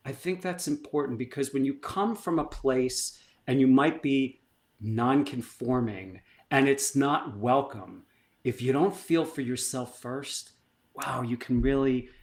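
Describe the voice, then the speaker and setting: A middle-aged man, a performance coach, telling stories in an animated, emphatic way and acting out bits of dialogue. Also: mid-range voice, measured pace. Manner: animated, emphatic storyteller who acts out dialogue. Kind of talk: conversational storytelling.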